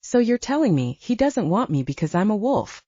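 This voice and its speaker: A woman plays an indignant young she-wolf from a romance audiobook. She is blunt and challenging, with a husky voice and lively, swinging pitch. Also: normal speed, dry recording, lo-fi sound. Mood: frustrated.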